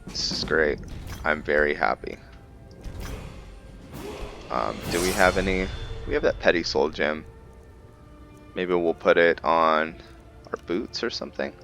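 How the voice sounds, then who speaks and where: A man giving casual commentary on a roleplay video game, halting as he thinks aloud and explains the lore. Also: sits low, creaky, fairly bright tone, soft background music. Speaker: gamer narrating a roleplay playthrough.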